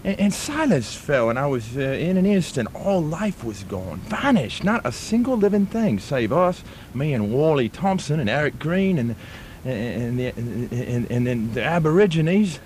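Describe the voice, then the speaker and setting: A man's voice: on the high side, sing-song, darkish tone, a little hiss or hum. A man with a put-on Australian accent playing a stammering bushman who tells a spooky tall tale in a comic radio drama. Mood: spooky storytelling. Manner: stammering, drawn-out storytelling.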